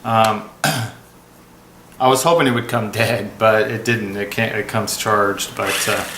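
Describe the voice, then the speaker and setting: Male home reviewer, lowish voice, informal and rambling, recorded in an echoey room over clear noise. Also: steady tone, unhurried, bright, forceful tone. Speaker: product review video host. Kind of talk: casual rambling hands-on review.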